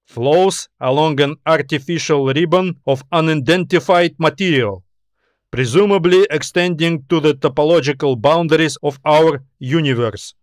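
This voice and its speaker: A man's voice: a little high, normal speed, dry recording, natural voice. A man performs a space-fleet admiral for an audiobook, in a dry, close recording. He is confident and practical, speaking with command and a businesslike edge. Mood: formal. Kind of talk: audiobook character dialogue.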